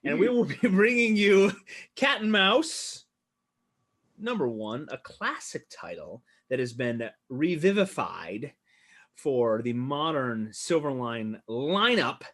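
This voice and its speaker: A man hosts a casual livestream over a video call, upbeat and chatty. His voice sits at an ordinary middle pitch. He laughs often and trails off into filler words as he thanks people. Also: sing-song, takes it slowly, a bit bright. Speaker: host.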